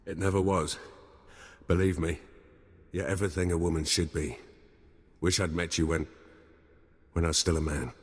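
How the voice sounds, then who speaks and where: A ruthless yet honourable military spymaster for a video game. His voice is very low and rough-edged. He talks in a grave, resigned way, with long reverb around him. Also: fairly even intonation, moderate pace, long pauses. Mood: resigned, pained. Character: gruff male army captain turned spy handler, ruthless but honourable.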